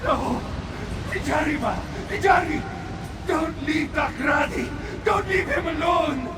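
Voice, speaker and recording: A man's voice: extremely high pitch, measured pace, a loud crowd, heavy background noise. A man playing an anguished, maddened cat-man spirit, a grieving father who speaks of himself in the third person in fantasy game dialogue. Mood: desperate pleading. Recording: echoing, distant, under loud music.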